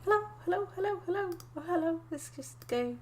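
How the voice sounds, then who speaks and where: A woman from England, chatting casually to viewers on a live stream from her desk, croaky and sniffly with a cold, often laughing. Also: very high voice, animated, brisk, darkish tone. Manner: chatty, giggly, greets viewers by name. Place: home desk indoors.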